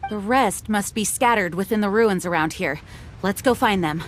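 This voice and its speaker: A woman's voice: mid-range voice, normal speed, slight background noise, lightly acted. An actress playing a composed, dutiful woman knight commander in a video game, poised, earnest and resolute.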